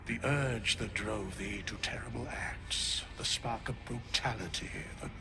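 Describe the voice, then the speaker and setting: Ancient, slow-spoken undead scribe. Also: male voice, fairly low pitch, music in the background. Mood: solemn, benevolent. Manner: stately, measured, portentous. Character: ancient solemn undead male scribe, keeper of the dead. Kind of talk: archaic, theatrical fantasy game acting.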